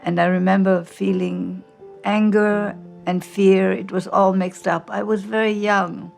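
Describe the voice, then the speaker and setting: A woman's voice: medium pitch, little pitch movement, gravelly, darkish tone. An older woman from Austria recounting her memories in a reflective documentary interview, with faint music behind her. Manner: slow, reflective storytelling.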